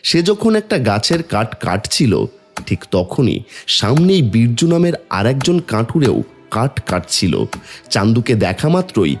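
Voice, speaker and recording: A man narrates a cartoon tale in a lively, varied storytelling voice. Light music plays behind him. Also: mid-range voice, unhurried.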